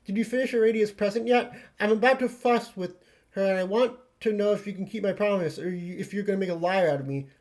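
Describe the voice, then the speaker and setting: Man putting on a high, snarky scheming troll girl. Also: fast, darkish tone. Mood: snarky, pushy. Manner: snarky, bossy, mocking.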